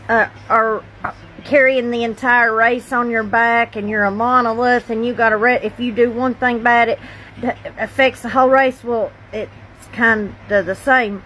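High voiced woman, slow folksy chat, bit of noise behind her. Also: a bit bright, low-quality recording. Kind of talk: informal personal talk to camera. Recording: faint background noise.

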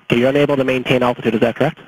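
A male air traffic controller speaks quickly at a middling pitch, issuing instructions over the radio. The transmission carries a faint layer of noise. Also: little pitch movement. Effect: aviation radio.

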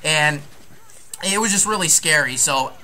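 A man's voice: on the high side, unhurried, sharp tone. A young man telling a story casually and crudely, as host of a gaming video. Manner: crude, joking. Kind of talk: casual storytelling over gameplay.

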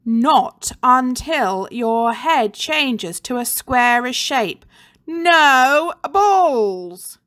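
A woman's voice: sits high, lively intonation, drawn-out words, pitched above the performer's own voice, exaggerated voice. Woman playing a furious ball-hating schoolmaster. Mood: stern. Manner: growling, building to bellowed shouts. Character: furious strict male teacher who hates balls.

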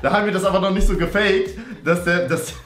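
A man in his twenties chatting casually as a reaction video host, animated and often repeating words. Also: high-pitched, fast talker. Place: small indoor gaming room. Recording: roomy sound with music underneath.

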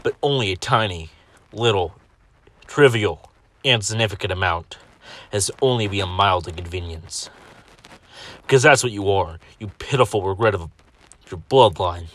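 A man playing an irate, contemptuous dragon in an amateur audition, delivering scripted insults with drawn-out, scornful emphasis. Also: sits low, a bit bright. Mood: cold contempt. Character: irate, contemptuous male dragon berating an underling.

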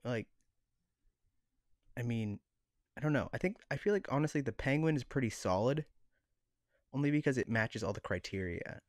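A man's voice: mid-range voice, animated, normal speed, pauses often, no echo. A man talks in a mid-range voice as a podcast co-host. He sounds close and dry, and he feels his way through his points in a loose, conversational style. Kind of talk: casual chat.

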